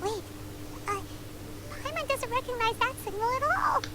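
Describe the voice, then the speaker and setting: A woman voicing a tiny, childlike, excitable fairy companion in a video game, squeaky and exclamatory. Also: moderate pace, background noise. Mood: puzzled, excited. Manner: squeaky, exclamatory, speaks of herself in third person.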